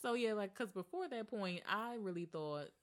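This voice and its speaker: A woman's voice: medium pitch, expressive, moderate pace. A young woman co-hosting a podcast, playful and jokey, often exclaiming in surprise. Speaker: podcast co-host. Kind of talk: casual chat.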